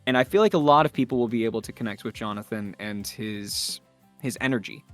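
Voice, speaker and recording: A man's voice: lowish voice, brisk, soft background music. A man talking enthusiastically in an interview as a voice actor, effusive and emphatic.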